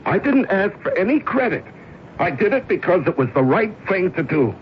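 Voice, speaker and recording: A man's voice: sits high, big pitch swings, a little hiss or hum. Desperate middle-aged american office man, pleading radio drama, voice jumps all over, muffled old tape. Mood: stubborn, impassioned. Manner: pleading, halting, emphatic. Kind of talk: 1970s radio drama acting.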